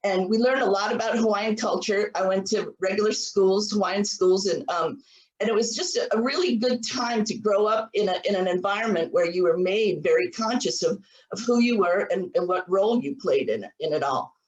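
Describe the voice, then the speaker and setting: A woman's voice: medium pitch, normal speed. A woman in her sixties giving an online biology lecture over slides, earnest and often restarting her sentences. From United States. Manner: earnest, many restarts and repeated words. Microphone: computer mic on a video call. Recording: echoey room, voice a little far from the mic.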